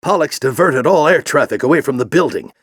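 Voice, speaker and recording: A man playing a grizzled older alien warrior general in a video game, with a gruff, commanding, theatrical delivery and a fanatical intensity. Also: mid-range voice, lively intonation, a little fast, fairly bright tone, no room sound, clean studio sound. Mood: brisk, commanding. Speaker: military commander and mentor. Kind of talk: video game voice acting, combat barks and dramatic speeches.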